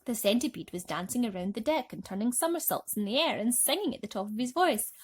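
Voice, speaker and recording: A woman storyteller reading a children's bedtime story dramatically and expressively, with emphatic pauses and swells. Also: a little high, lively intonation, breathy edge.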